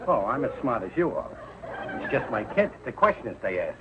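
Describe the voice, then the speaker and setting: A man's voice: mid-range voice, sing-song, fairly quick, natural voice. An actor playing a bumbling, exasperated middle-aged working-class father in a 1940s television sitcom. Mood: earnest. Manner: blustering, ungrammatical, hesitant when cornered. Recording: muffled, noisy old television soundtrack.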